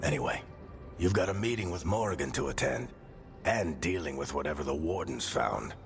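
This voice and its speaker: Older male mentor for fantasy game: deep, lively storyteller, fatherly and weary, over clear music. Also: moderate pace, heavily acted. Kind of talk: dramatic narration and game dialogue.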